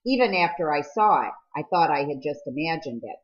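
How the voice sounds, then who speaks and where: An earnest teenage boy from a storybook, voiced by a woman narrator. He speaks patiently and tries to smooth things over. The recording is slightly lo-fi. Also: sits low, brisk. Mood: friendly, earnest. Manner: calm, conciliatory. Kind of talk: audiobook character dialogue.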